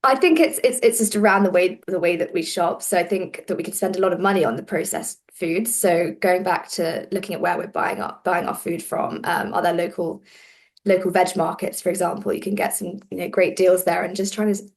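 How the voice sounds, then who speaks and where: A woman's voice: on the low side, brisk. A woman who founded a food brand, speaking on a webinar panel and thinking aloud as she repeats words. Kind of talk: casual panel discussion. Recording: webinar over video call.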